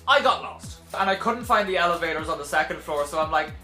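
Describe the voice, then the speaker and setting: A young man telling a casual vlog story to camera in a dramatic, animated way, with sarcastic, self-deprecating asides. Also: a little high, quick pace, faint music behind. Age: young adult. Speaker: video blogger telling a personal story. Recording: roomy echo.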